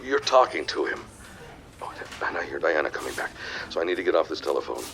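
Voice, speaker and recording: A man's voice: extremely low pitch, sing-song, quick pace, creaky, a bit bright, slight background noise, exaggerated voice. An actor playing a scheming, domineering businessman in a period audio drama, speaking in a smug, formal, theatrical way. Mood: smug, secretive.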